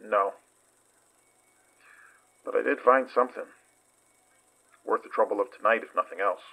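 An actor playing a guarded male investigator in an audio drama, recounting a dangerous night in a measured, halting way. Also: lowish voice, gravelly, bright, forceful tone, heavily acted, low-quality recording.

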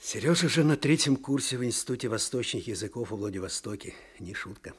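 An actor playing a weary, sardonic older provincial clerk in a radio drama, with a husky voice and folksy, wry speech. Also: male voice, medium pitch, expressive, brisk. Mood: fond, modest. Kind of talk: Soviet radio drama acting.

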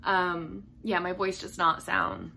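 Bright-voiced hoarse young woman chatting. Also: lowish voice, very animated, slow, slight background noise. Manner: chatty, rambling, many fillers and likes. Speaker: book vlogger. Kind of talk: casual chat to camera. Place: home room with bookshelves.

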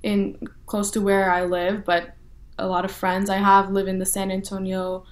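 A young woman from North Texas, speaking as an interview guest in a relaxed way with lots of "you know" and "like" fillers. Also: mid-range voice, normal speed. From North Texas, USA. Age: young adult. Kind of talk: casual interview talk.

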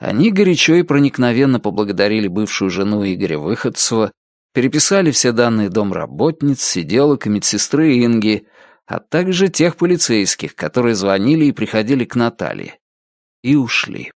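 A man narrating an audiobook in an even storytelling manner. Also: medium pitch, brisk, no echo, clean studio sound. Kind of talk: scripted narration.

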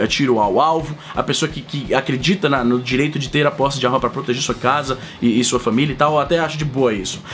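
Vlogger man in his thirties with a head cold, high, swinging voice, profane rant to camera, faint music under it. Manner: animated, profane, slangy, big hand gestures. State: sick with a cold, coughing. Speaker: vlogger host. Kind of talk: casual ranting vlog. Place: home room with shelves of collectibles. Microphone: fixed camera, close framing.

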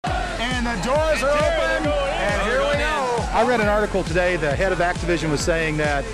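Male live reporter, very high pitch, chatty, music behind him. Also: normal speed, a loud crowd. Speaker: TV reporter interviewing people live. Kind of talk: live on-location chat. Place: outdoors at night outside a store.

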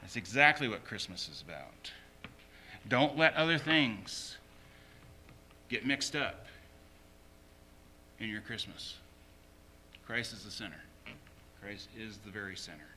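A man's voice: fairly low pitch, animated, slow pace, pauses often, sharp tone, a little hiss or hum. A man preaching a sermon as a pastor, emphatically repeating short phrases.

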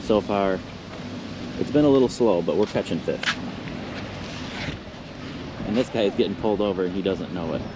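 A man's voice: low voice, moderate pace, long pauses. A man narrating a fishing trip in an easygoing, chatty play-by-play, filmed outdoors on a pier with an action camera. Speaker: vlogger filming a fishing trip. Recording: windy outdoor action-cam audio.

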